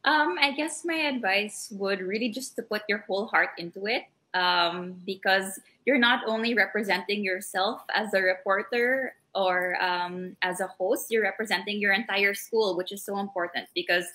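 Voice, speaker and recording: Filipino woman, young, bright clear voice, earnest, talking over a laptop call from home. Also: medium pitch, normal speed, few pauses. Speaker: guest, former courtside reporter. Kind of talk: casual video-call interview. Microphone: laptop webcam on a video call.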